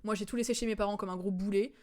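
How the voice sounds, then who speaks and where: A woman streamer talks through a game's story in a loose, chatty way. She has a medium-pitched voice and drifts off into asides, casual and giggly. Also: steady tone, very fast, darkish tone. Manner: chatty, digressive, giggly, acts out quotes in slang.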